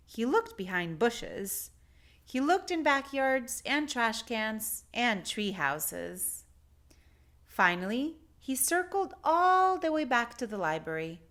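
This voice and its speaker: A woman narrating a picture book aloud for children, with deliberate, expressive phrasing. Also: mid-range voice. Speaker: children's story reader.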